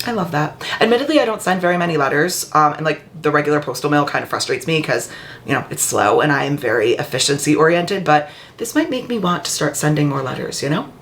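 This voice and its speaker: A woman book vlogger talking in a casual, heartfelt way, her voice a little raspy and hoarse after being ill. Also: sits low, expressive, fast talker, a little hiss or hum. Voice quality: slightly raspy. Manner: chatty and heartfelt. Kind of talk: casual vlog chat. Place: upstairs room at home.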